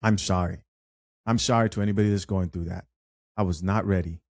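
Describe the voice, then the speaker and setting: A man hosting an unscripted commentary show, chatty and animated as he reads viewer comments aloud. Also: sits low, brisk. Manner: chatty, animated, with frequent fillers and restarts. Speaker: host.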